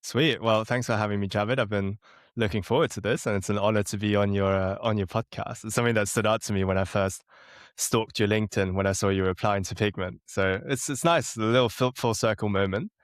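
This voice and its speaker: Low-voiced, relaxed young man from Germany. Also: brisk, no echo, clean studio sound. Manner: relaxed, self-deprecating, chuckling now and then. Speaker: podcast guest. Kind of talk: casual interview chat.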